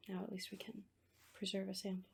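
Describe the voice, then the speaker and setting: A woman playing a sinister asylum nurse in a horror ASMR roleplay, whispering in a breathy, coaxing, falsely reassuring way. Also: mid-range voice, monotone, fast, a little mellow. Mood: quietly menacing. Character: sinister asylum nurse, soothing on the surface, quietly menacing.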